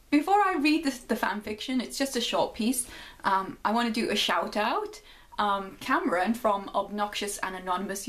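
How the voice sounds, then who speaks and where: A young woman with a Geordie accent chatting casually on her vlog and then reading her own short story aloud, laughing at herself now and then. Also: mid-range voice. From North East England. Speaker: vlogger.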